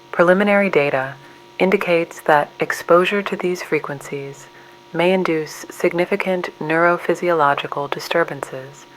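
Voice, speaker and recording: A woman's voice: low voice, a bit bright, slight background noise, poor audio. A woman narrating a scripted horror science report in a formal, clinical manner.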